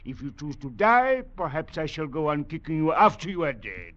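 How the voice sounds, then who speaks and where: Brutal, forceful sergeant. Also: male voice, on the high side, big pitch swings, normal speed. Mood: sneering menace. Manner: mocking, threatening, barks orders. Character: brutal, sneering Foreign Legion sergeant, sadistic and menacing. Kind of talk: 1940s radio acting. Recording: muffled 1940s radio recording.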